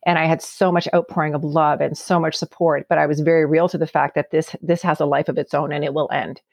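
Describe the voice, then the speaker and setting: Gravelly-voiced woman telling her story. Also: low voice, little pitch movement, fast, a little mellow, no echo, studio quality. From Canada. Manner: earnest, heartfelt, often repeats words. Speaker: guest. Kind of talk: reflective personal storytelling.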